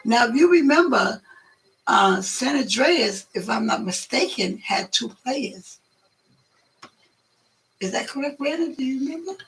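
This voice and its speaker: A hoarse woman chats as a guest, rambling and drawing out her stories. Her voice is gravelly, with a sharp, bright edge on top. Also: high-pitched, expressive, poor audio. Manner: chatty, rambling, drawn-out. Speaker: guest, host's mother. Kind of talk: casual storytelling chat.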